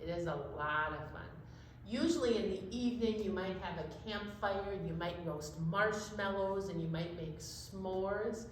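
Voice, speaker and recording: A woman's voice: medium pitch, measured pace. A woman co-hosting a children's storytime, chatting in a friendly, simple way for young listeners. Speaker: storytime co-host. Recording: very echoey room, faint noise.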